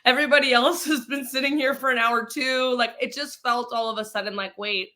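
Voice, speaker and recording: A woman's voice: on the high side, steady tone, a little fast, pushed and bright. A woman from Mexico being interviewed as an actress and producer, telling her story in a casual, chatty, informal way. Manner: chatty, self-quoting, informal.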